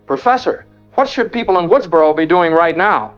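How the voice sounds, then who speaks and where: A man's voice: fairly high pitch, animated, normal speed, close to an everyday voice. A man playing a radio interviewer in a drama, asking an expert probing questions steadily. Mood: steady, inquiring. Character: composed male radio host questioning an expert. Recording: dull lo-fi radio sound with faint music.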